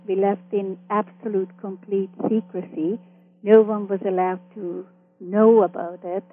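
Over a tinny, narrow telephone line, an older woman who grew up in Hungary and Canada recounts personal history. She is a native Hungarian speaker with a medium-pitched voice, answering as an interview guest. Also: husky. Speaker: interview guest, mental health counselor.

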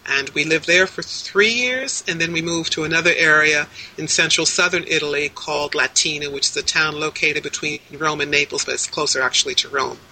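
A woman in her sixties speaks over a video call, her voice very bright and sharp-edged. She answers in a measured, articulate way, stringing details into long lists. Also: sits low, a little hiss or hum, low-quality recording. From United States. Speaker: interviewee. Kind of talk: casual interview answers.

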